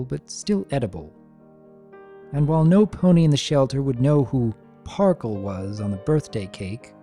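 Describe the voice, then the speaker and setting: A narrator giving a dramatic story reading in a soft, measured, deliberate way. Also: mid-range voice.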